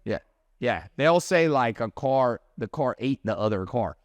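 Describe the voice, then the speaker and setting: A man's voice: mid-range voice, animated, a little slow, dry recording, highs cut off. Man, casual storyteller, mimics accents, dry studio sound. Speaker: podcast guest, business mentor. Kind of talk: casual chat.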